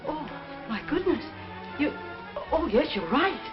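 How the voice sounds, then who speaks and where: A woman's voice: high-pitched, very animated, slow pace, exaggerated voice. An actress playing a flustered, motherly woman who fusses and takes charge in a 1940s film. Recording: muffled vintage film soundtrack with music.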